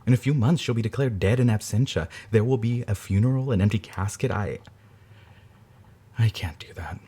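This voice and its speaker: Grieving brother , male actor: dark, raspy voice, low-key determination. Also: normal speed. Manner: quiet, resolute, weary. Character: grieving man searching for his missing sister.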